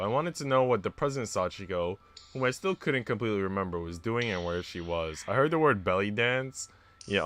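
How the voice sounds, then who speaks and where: A man's voice: lowish voice, fairly bright tone. A man live streaming in an informal, slangy way, moving between casual chat and reading aloud.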